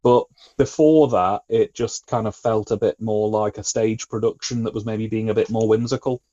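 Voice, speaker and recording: A man co-hosting a podcast, casually discussing and explaining his opinions in a measured, careful way. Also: fairly low pitch, steady tone, warm tone, no echo, highs cut off. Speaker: podcast co-host.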